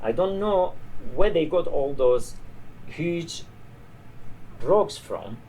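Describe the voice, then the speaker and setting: A man's voice: fairly high pitch, animated, slow, dark tone, voice pushed up. A man reading the part of a tired old border guard in a story, chatty, dismissive and grimly amused. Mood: incredulous, discouraging. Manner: weary, chatty, discouraging. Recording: noisy live reading in a bar.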